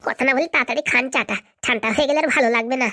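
Woman acting bossy, high-voiced cartoon schemer. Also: racing, pushed and bright, no room sound, studio quality. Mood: brusque, proud. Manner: commanding, brash, colloquial. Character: bossy, boastful, scheming woman power broker.

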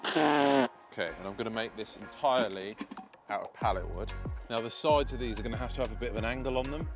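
A man's voice: medium pitch, a little fast. British man, casual DIY vlogger, music behind. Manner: self-deprecating, informal, thinking aloud. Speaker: woodworking video host. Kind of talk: casual DIY vlog commentary. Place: outdoor paved garden patio by a field.

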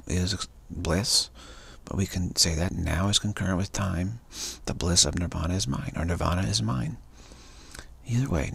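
A man with a very deep voice full of gravel and fry chants spiritual affirmations in slow, looping repetition. His tone is husky and rough, and he keeps the same lines going round and round like a meditation. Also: a bit bright. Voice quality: gravelly, raspy voice. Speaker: spiritual speaker. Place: cafe. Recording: live stream.

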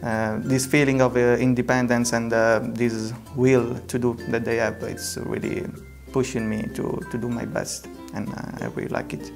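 A man from Italy with an Italian accent, a music student giving halting answers full of fillers. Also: medium pitch, little pitch movement, gravelly. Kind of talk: casual interview answers. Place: classroom.

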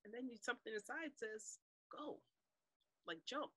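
A woman's voice: on the high side, moderate pace, breathy edge, no room sound. A middle-aged woman guest on a video-call interview, telling her story animatedly, laughing and acting out her doubts. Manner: animated storyteller, laughs often, acts out inner voice. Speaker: guest author. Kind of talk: conversational interview. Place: room with blue cloth backdrop. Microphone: webcam on video call.

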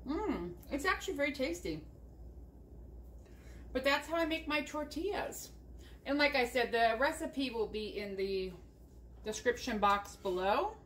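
High-voiced chatty woman in a kitchen. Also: animated, measured pace, long pauses, a bit bright, slight background noise. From United States. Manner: chatty, talks viewers through each step. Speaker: vlogger cooking at home. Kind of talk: casual cooking demonstration. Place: home kitchen. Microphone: camera mounted above the counter.

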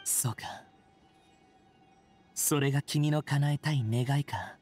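A lonely, bitter game dragon, played by a woman, with a very low and brooding voice. The words come slowly and somberly, with faint music behind. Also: a little mellow. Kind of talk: scripted game voice acting.

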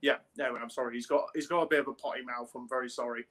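A man's voice: medium pitch, fairly even intonation, rapid-fire, fairly bright tone. A young man hosting a podcast over a video call, chatting in an informal, jokey way. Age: young adult. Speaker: podcast host. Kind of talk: casual video podcast introduction. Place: small room at home, on video call.

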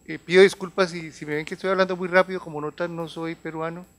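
A Colombian man in his fifties speaks as an advisor presenting at a conference. His voice sits slightly higher than most men's. He explains point by point in a semi-formal register, often halting and repeating a phrase before he moves on. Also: level tone, fairly bright tone. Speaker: health organization advisor giving a talk. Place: large conference room. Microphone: handheld or table microphone.

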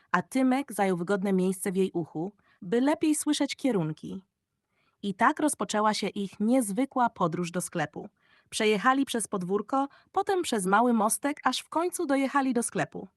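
A woman narrates a children's audiobook with expressive storybook delivery. Her pitch sits in the middle for a female voice, and the recording is very dry, with no room around it. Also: moderate pace, dry recording.

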